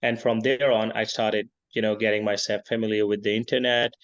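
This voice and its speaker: A man in his thirties from Pakistan talks in a low voice over a video call from a village, giving steady, conversational answers. He leans on "you know" between thoughts, and the line sounds dull and lo-fi. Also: a little fast. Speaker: guest, tech and business consultant.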